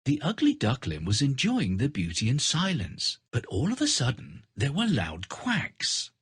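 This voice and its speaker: A man's voice: mid-range voice, wildly swooping pitch, a little slow, husky, no room sound, clean studio sound. A man reading scripted children's fairy tale narration. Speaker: storybook narrator.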